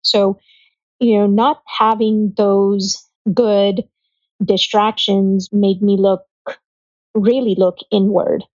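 A woman in her thirties from Kenya, a podcast guest speaking in a steady, reflective way. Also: mid-range voice, slow pace, no echo. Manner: steady, reflective, even-toned. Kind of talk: casual interview chat. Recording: dry, dull lo-fi sound.

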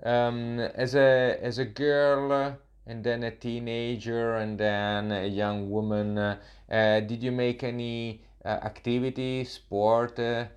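Halting, slow-speaking male interviewer. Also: mid-range voice, level tone, lo-fi sound. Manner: hesitant, halting, many fillers. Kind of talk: interview questions.

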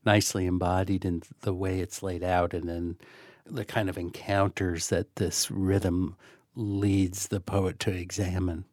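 Male panelist, low register, conversational and musing literary discussion, no room sound. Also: little pitch movement, unhurried, darkish tone, no room sound, clean studio sound. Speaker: poetry magazine editor, podcast panelist.

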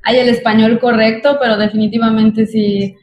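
A woman from Mexico's Yucatán, speaking with the region's accent, chats about work in a slightly high voice. She pauses often and fills the gaps while she looks for words. The sound carries an echoey room around her. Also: little pitch movement, a little mellow. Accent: Yucatán Mexican Spanish. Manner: thoughtful, hesitant, with many fillers. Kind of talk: casual chat.

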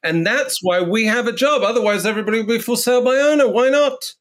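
A man working as a real estate agent, telling stories in a casual interview in a blunt, animated way and swearing freely. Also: extremely high pitch, fast talker.

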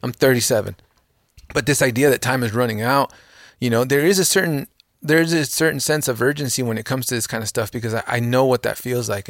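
A man in his thirties talks in an earnest, motivational way, leaning hard on the points he wants to land. His voice sits at a middle pitch and is recorded close and dry, with no room sound. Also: no room sound. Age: 30s. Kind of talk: casual podcast conversation.

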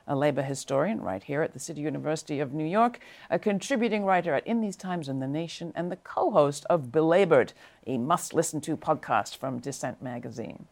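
A woman with a fairly low voice runs an interview. She introduces her guests and follows up in a conversational, engaged way, often opening with "I mean." Her questions sound curious and unforced. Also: lively intonation, a little fast, darkish tone. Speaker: host. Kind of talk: interview hosting.

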